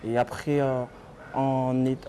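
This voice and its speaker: Jeune chanteur congolais à la voix lasse. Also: male voice, mid-range voice, little pitch movement, slow, over a noisy crowd. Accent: Congolese French. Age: young adult. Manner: tired-sounding, hesitant, many fillers. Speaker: guest musician.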